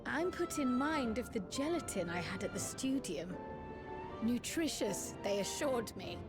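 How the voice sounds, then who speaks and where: A woman's voice: fairly high pitch, expressive, a little slow. A woman playing a precise, studious scholar in a fantasy game, articulate and formal in an academic way. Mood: wry. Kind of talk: scripted game cutscene acting. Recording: clear music behind the voice. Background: music.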